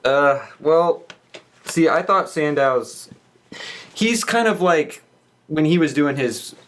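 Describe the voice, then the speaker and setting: A man's voice: on the high side, lively intonation, slow pace, a bit bright. A man in a casual wrestling discussion, speaking in a hedging, rambling way as a podcast guest. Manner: hedging, rambling with frequent "you know".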